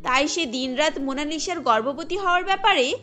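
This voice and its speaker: A woman's voice: high-pitched, animated, a little fast, pushed and bright. A woman reading scripted narration for a cartoon story, with music behind her voice.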